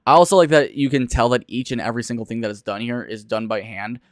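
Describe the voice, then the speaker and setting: A man's voice: medium pitch, steady tone, quick pace, dry recording, clean studio sound. A young man giving casual reaction commentary as a video reviewer, talking in a rambling, informal and emphatic way.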